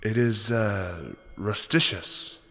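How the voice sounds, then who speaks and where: A man's voice: fairly low pitch, slow, sharp tone, big-room sound, soft background music, full character voice. A man playing an apologetic monk in an audio drama, urgent and deferential. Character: urgent, apologetic monk rousing his superior.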